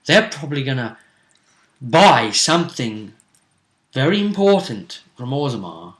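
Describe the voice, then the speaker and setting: A man's voice: medium pitch, sing-song, fairly bright tone. Thoughtful man musing on a tabletop game. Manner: thoughtful, with frequent restarts and drawn-out words. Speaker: game master and co-commentator. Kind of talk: casual discussion of a tabletop game. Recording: roomy echo.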